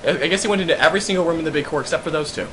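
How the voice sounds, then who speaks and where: A man's voice: fairly high pitch, very fast, pushed and bright. Wry man joking over noisy game audio. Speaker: let's play commentator. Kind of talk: casual joking gaming commentary.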